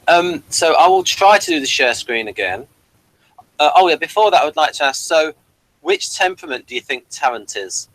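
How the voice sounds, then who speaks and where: A man's voice: on the high side, lively intonation, normal speed, fairly bright tone, no echo, rough recording. A man hosting a casual, conversational discussion as a podcaster. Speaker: podcast host. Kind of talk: casual discussion of TV and personality types.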